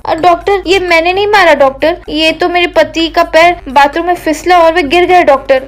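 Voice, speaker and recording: Woman playing an eager, high-voiced young wife. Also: fairly even intonation, normal speed, soft background music. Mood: flustered.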